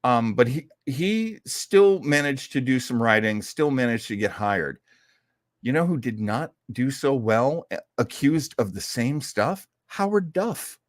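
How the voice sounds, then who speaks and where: Chatty man with very animated pitch. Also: unhurried, no room sound, clean studio sound. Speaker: host of an old-time radio show program. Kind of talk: casual commentary on classic radio history.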